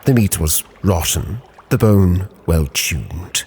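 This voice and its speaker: Deep voiced guy telling a captain's log story, takes his time, solemn and hushed at the ends, music behind. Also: animated, slight background noise. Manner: measured, solemn storytelling with hushed phrase endings. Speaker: narrator and roleplayer. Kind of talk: dramatic in-character story narration. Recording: music under the voice.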